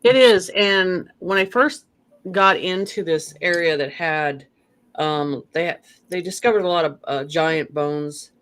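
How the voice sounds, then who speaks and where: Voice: medium pitch, slow, a bit bright, smooth. A guest in a casual spiritual conversation, rambling along with lots of "you know" and restarts.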